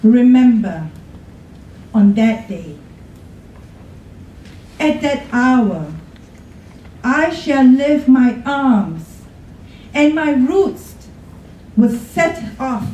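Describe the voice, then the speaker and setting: A woman giving a dramatic poetry recitation in a theatrical way, with pauses, at a podium. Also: high-pitched, expressive, dark tone. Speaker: poetry contest reader. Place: college room, at a podium. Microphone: podium microphone, filmed from the audience. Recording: echoey room, distant, faint noise.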